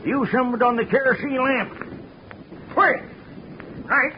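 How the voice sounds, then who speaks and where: A crusty old mule skinner. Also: male voice, extremely high pitch, slow, slight background noise. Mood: gruff. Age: older. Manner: folksy drawl, hollering at mules. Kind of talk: 1940s radio acting. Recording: dull old radio recording.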